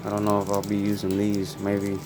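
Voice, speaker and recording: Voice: deep voice, monotone, a little fast. A person narrating an unboxing in a casual, laid-back, informal way. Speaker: unboxing video host. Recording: loud music behind the voice.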